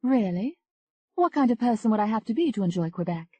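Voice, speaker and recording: A woman voicing an eager, flirtatious Englishwoman, raising her pitch well above her own voice. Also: animated, brisk, no echo, lightly acted. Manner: bright, eager, teasing.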